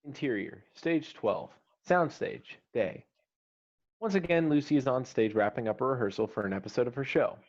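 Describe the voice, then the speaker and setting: A man's voice: medium pitch, moderate pace. A man reading screenplay stage directions as the narrator of a scripted table read. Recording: slightly dull online call audio.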